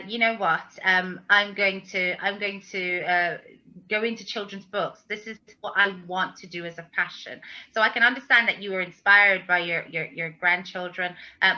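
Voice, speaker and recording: A woman with a very bright, sharp voice interviews an author, asking her questions with lots of hesitant fillers. The audio is dull and lo-fi. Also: mid-range voice. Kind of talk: casual interview.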